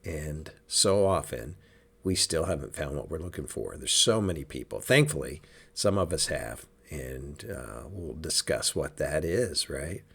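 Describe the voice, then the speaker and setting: A man with a raspy, hoarse voice from a sinus cold, hosting a casual church podcast in an easygoing, chatty way. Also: very deep voice, expressive, measured pace, a bit bright. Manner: easygoing, chatty, with many fillers. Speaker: podcast host.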